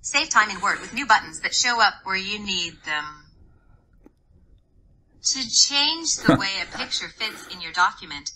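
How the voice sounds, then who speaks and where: A woman's voice: mid-range voice, expressive, unhurried, sharp tone, low-quality recording. A synthetic but natural-sounding woman's voice reading a document aloud, played from a phone speaker into a microphone. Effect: phone speaker playback into a microphone. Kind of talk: text-to-speech document reading.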